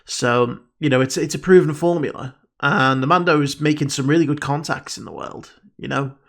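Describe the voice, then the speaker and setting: A man's voice: a little high, normal speed, gravelly, fairly bright tone. A man with a stuffed-up nose giving casual reaction commentary as a video host, musing and rambling with frequent ums.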